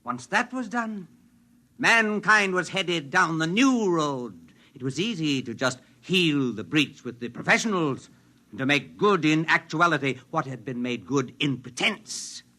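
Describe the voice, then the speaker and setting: Zealous, self-important male idealist, 1950s drama. High-pitched, piercingly bright, speechifying. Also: animated, a little slow, exaggerated voice. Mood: zealous, grandiose. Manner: fervent, declamatory speechmaking. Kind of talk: 1950s radio drama acting.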